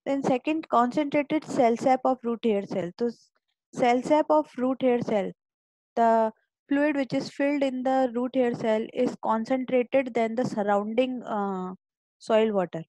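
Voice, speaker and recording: A woman's voice: a little high, measured pace, mellow, dry recording, poor audio. A woman teacher giving an online biology lecture, explaining step by step and often repeating or restarting words.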